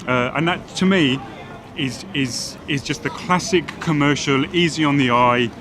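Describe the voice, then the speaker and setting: A chatty, self-assured man with a Welsh accent that carries a Geordie tinge, his voice sitting a touch high for a man. He is recorded on a phone outdoors, with traffic and city noise clearly audible behind him. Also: measured pace. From Wales. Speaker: celebrity fashion stylist. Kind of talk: casual on-the-street chat. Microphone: phone camera held by the other person.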